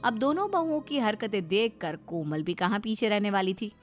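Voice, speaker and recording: A woman's voice: mid-range voice, lively intonation, normal speed, soft background music, lightly acted. A woman narrating a scripted cartoon family story. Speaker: narrator and character voice.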